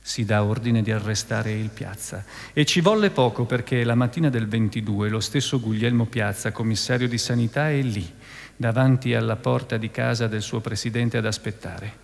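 A man's voice: on the low side, normal speed, creaky. Male theatrical narrator in a reverberant hall. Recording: long hall reverb, faint music.

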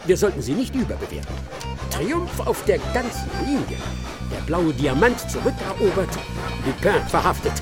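A self-satisfied, bossy detective in a radio play. The voice sits high and swings widely as he boasts and hurries people along. Music runs behind him. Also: huge pitch swings, normal speed. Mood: smugly triumphant. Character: triumphant, brisk, self-satisfied master detective. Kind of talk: radio drama acting.

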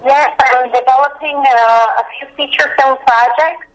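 A woman from Canada, a film director, speaking earnestly in a podcast interview with frequent pauses. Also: high voice, steady tone, background noise. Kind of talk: podcast interview over a call.

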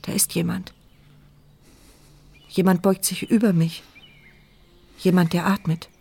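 A woman's voice: fairly low pitch, little pitch movement, a little slow, gravelly, warm tone, a little hiss or hum. An actress playing a woman in a wheelchair who narrates her own fear in a tense, sardonic inner monologue, in a radio drama. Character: paralysed woman in a wheelchair, frightened and wry.

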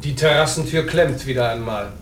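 An actor in a German radio drama playing a young but sedate English butler, formal, deferential and dryly discreet. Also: male voice, medium pitch, a little fast, heavy background noise, close to an everyday voice.

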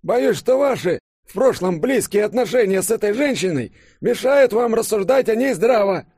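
A man's voice: high voice, lively intonation, normal speed, darkish tone, slightly rough, no room sound, studio quality, pitched above the performer's own voice. A man voicing a grumpy, querulous old professor with huffy, pompous speech in an audiobook. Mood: indignant. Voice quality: elderly, grumbling, puffing.

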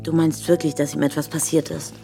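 A bitter estranged wife in a radio drama, blunt and sardonic. Her voice is on the low side with clear creak. She sounds like someone used to taking charge, and music runs behind her. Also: flat delivery, fairly quick. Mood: cold, distrustful.

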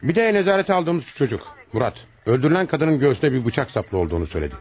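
An actor playing a stern, commanding police commissioner in a radio play, questioning suspects brusquely and with authority. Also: male voice, mid-range voice, sing-song, quick pace, natural voice. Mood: commanding, brisk. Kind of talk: radio theatre acting.

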